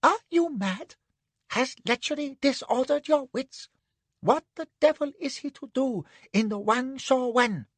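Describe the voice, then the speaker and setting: A man voicing a frantic, squealing man, a eunuch at a palace, high-pitched and near tears. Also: measured pace, a bit bright, dry recording, heavily acted. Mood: outraged.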